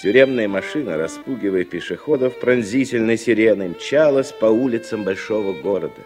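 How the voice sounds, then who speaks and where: An actor playing a steadfast imprisoned man who tells his own story in lyrical, measured radio-drama narration. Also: fairly low pitch, lively intonation, a little mellow, faint music behind, close to an everyday voice. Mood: hopeful, steadfast. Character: steadfast imprisoned hero Ben, recounting his arrest. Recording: 1960s radio recording, strings behind.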